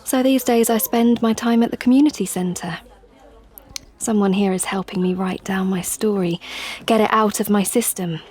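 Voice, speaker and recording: A woman's voice: mid-range voice, fairly even intonation, normal speed, darkish tone, lightly acted. An actress playing a regretful, earnest young mother confessing in an audio play monologue. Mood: earnest, reflective. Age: young adult.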